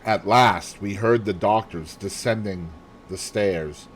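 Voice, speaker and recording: A man's voice: fairly low pitch, slow pace, a little hiss or hum. A man reading a novel aloud in a theatrical storytelling style, acting out the dialogue. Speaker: amateur audiobook reader. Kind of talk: dramatic novel read-aloud.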